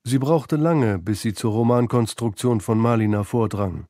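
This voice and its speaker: A mellow male voice narrates a literary radio feature. He reads his script in a measured, sober way, in a dry, close sound at a middle pitch. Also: no echo.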